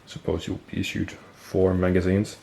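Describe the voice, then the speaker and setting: A man with a deep, gravelly voice and a stuffy nose talks through a piece of gear on camera. He is relaxed and unhurried, thinking aloud, trailing off and correcting himself as he goes. A faint hiss of background noise sits under his voice. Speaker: military gear collector and video host. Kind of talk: casual show-and-tell explanation.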